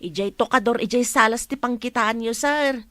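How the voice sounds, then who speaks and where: A woman voicing a timid, high-voiced housemaid. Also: normal speed, a bit bright, dry recording, clean studio sound, close to an everyday voice. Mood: flustered. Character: timid young housemaid, eager to please. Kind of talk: radio drama acting.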